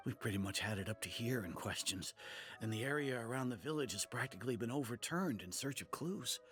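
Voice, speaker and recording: An actor playing a weary, sincere village merchant in a video game, hesitant and earnest, with a gravelly voice. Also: male voice, mid-range voice, lively intonation, a little fast, fairly bright tone, natural voice. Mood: weary, discouraged. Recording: music under the voice. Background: game music.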